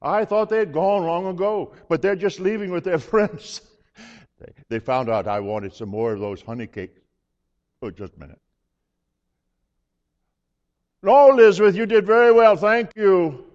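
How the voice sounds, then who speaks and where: A man's voice: high voice, normal speed, a little mellow, natural voice. A man playing a jovial elderly priest who chuckles and fusses over his guest in a dramatic monologue. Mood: merry. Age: older. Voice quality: chuckling, hearty and hospitable. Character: jovial elderly male priest hosting a friend. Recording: reverberant hall, slightly dull sound.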